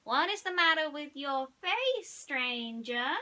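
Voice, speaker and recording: Woman voicing awed little toy alien, high and drawn-out. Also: lively intonation, sharp tone, exaggerated voice. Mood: puzzled, suspicious. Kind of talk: bedtime story character voice.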